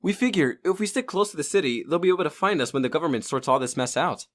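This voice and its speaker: A man's voice: fairly high pitch, animated, fast, fairly bright tone. A man playing a streetwise young survivor who guides a newcomer in a dubbed comic drama. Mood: grave, explaining.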